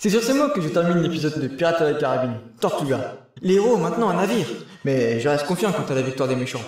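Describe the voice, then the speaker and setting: A man's voice: fairly high pitch, very animated, quick pace, darkish tone. A man playing a wry narrator who favours the villains in a comic pirate audio drama. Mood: wry, villain-favouring. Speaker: episode narrator. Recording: very echoey, distant from the mic.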